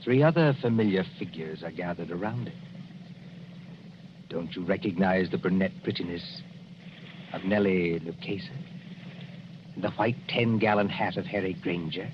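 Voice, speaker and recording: An actor playing an ominous storyteller, narrating a 1940s radio mystery in a dramatic, literary manner. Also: male voice, sits low, moderate pace, long pauses, background noise, lightly acted. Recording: muffled 1940s radio recording. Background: music.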